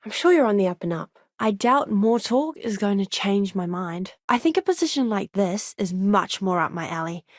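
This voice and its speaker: A woman voicing an earnest, eager young ship's doctor in a video game, with a polite, expressive manner. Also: medium pitch, moderate pace, hardly any pauses, no echo, clean studio sound, lightly acted. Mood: firm, defiant. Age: young adult. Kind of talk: game character voice lines.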